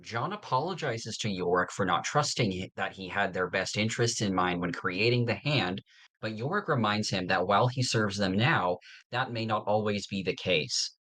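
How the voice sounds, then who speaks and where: A man's voice: lowish voice, animated, normal speed, a bit bright, rough recording. A man co-hosting a podcast in a casual, conversational style. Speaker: podcast co-host.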